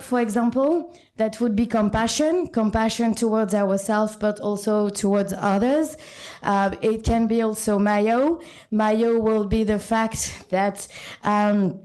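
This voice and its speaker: French-accented woman, kinda high voice but mellow, lots of ums, talking to a conference room. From born in Sri Lanka, French and Italian parents. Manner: frequent ums and uhs, restarts sentences. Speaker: conference speaker. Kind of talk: short conference talk with a food metaphor. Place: conference room with a live audience.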